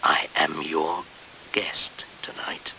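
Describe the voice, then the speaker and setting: An actor playing an ancient, solemn and menacing midwinter shaman spirit in a radio play, speaking in incantatory, breathy half-whispers with dramatic pauses. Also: a bass voice, level tone, sharp tone, exaggerated voice. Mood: commanding, mysterious. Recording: hissy 1970s radio recording.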